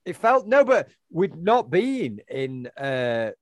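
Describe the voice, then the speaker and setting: A man's voice: on the high side, sing-song, slow pace, dry recording, studio quality. A middle-aged man, co-hosting a casual chat and rambling through answers with lots of fillers and restarts. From England. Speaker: podcast co-host.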